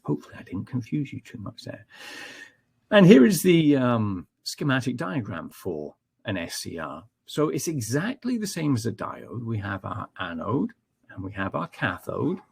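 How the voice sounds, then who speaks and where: A man's voice: mid-range voice, big pitch swings, moderate pace, creaky, a little mellow, breathy edge. A man with a Scottish accent giving a technical webinar, explaining step by step with frequent ums and checking in with "okay?". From Scotland. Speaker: technical trainer.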